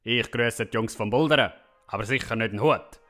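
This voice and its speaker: A man's voice: lowish voice, moderate pace, bright, forceful tone, faint music behind. An actor playing a stubborn, hot-headed family father and folk hero in a comic audio drama, blunt and bossy, with a Swiss German accent. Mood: grumbling, defiant.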